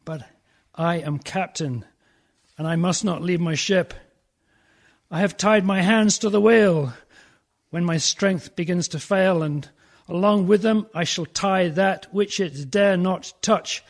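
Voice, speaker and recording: An actor in a radio horror play playing a grim, god-fearing sea captain, solemn and anguished. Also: male voice, high voice.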